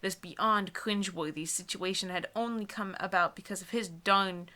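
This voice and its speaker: A woman's voice: mid-range voice, steady tone, normal speed, pushed and bright. A young adult woman co-hosting a dramatic book reading, mixing narration with sarcastic, exasperated casual commentary. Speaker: co-host reading bad books aloud.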